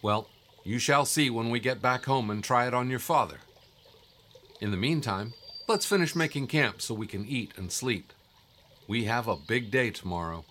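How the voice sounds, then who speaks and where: An actor playing a calm, wise and assured guide in an audio drama, instructive and encouraging in manner. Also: male voice, medium pitch, normal speed, a little hiss or hum, close to an everyday voice. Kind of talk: scripted audio drama acting.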